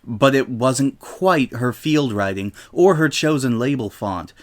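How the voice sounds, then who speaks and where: A man's voice: mid-range voice, measured pace. A man with a mid-range voice narrates a comedic story aloud. He delivers it as a dramatic reading, in the role of storyteller.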